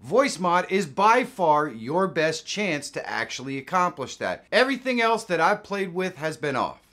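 Animated American man presenting a tutorial. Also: a little high, normal speed. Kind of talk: casual software tutorial. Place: small indoor home studio.

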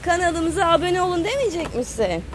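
A woman's voice: very high voice, big pitch swings, brisk, noisy background. A woman chatting casually to the camera and calling out to children in a sing-song way, recorded on a handheld phone at an outdoor playground. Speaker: parent filming a family vlog.

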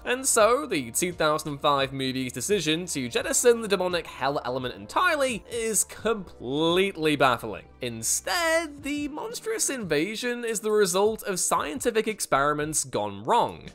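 A man's voice: sits high, fairly bright tone. A man presenting a scripted list video as jokey, opinionated commentary, with emphatic, sardonic delivery and exaggerated stress. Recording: music behind the voice. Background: background music.

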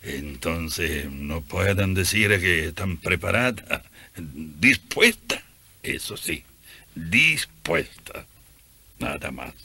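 A teasing old-world missionary priest for radio drama. He is husky and bright in tone, and takes his time, leaning on repeated words. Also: low-pitched, expressive, takes it slowly, exaggerated voice. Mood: teasing, instructive. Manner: chuckling, emphatic, repeats words for effect. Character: genial, teasing Italian missionary priest leading nuns.

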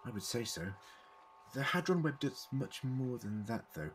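An actor playing an eccentric, clever time-travelling man in an audio drama, deliberate and formally worded as he explains. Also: medium pitch, lively intonation, slight background noise, lightly acted. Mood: measured, explaining.

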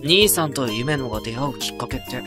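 A man playing a wry, self-mocking high school boy who narrates an anime audio drama with dry asides. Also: expressive, fairly quick, over music, close to an everyday voice. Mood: musing.

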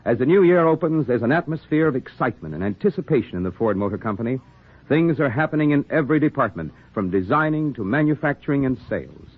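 Heard through a thin old radio recording, a male announcer reads a scripted 1940s commercial. His voice is mid-pitched and husky, with a lot of rise and fall. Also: animated, fast talker. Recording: muffled, narrow-band 1940s radio recording.

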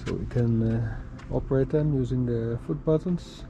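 A man's voice: mid-range voice, moderate pace. A man giving an informal explanatory walkaround as a boat owner, with somewhat hesitant, pieced-together phrasing. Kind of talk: informal explanatory tour commentary. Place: aboard a sailing catamaran in a marina, in bow compartments and on deck. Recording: clear outdoor and engine-like background noise.

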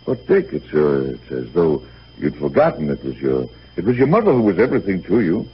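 An actor playing a gentle, shaken, grieving middle-aged father in a 1930s radio drama, halting and stammering apologetically. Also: rumbling low, huge pitch swings, heavily acted. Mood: grieving, earnest. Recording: muffled, noisy 1938 radio broadcast.

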